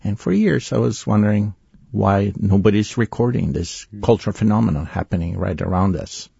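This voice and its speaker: A man's voice: fairly low pitch, expressive, brisk, vocal fry, mellow, husky, no room sound, highs cut off. A man from Italy with an Italian accent, speaking thoughtfully as a guest in a conversational interview.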